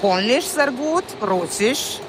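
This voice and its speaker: A woman whose first language is Romanian, working as a train cleaner, answering a reporter haltingly. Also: fairly high pitch, lively intonation, background noise. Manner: halting, listing word by word.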